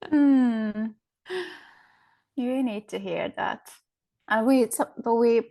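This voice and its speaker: A woman's voice: mid-range voice, gravelly, dark tone. A woman with a Finnish accent, a podcast guest speaking thoughtfully, often searching for words with fillers and restarts. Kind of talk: reflective interview conversation.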